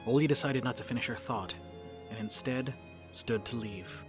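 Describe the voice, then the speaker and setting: A man's voice: medium pitch, normal speed, over music. A man giving scripted third-person narration for a dramatic reading.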